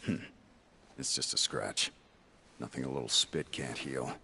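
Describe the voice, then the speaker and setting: A man playing a gruff, single-minded warrior, blunt and terse, in a video game cutscene. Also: very deep voice, measured pace, sharp tone, full character voice.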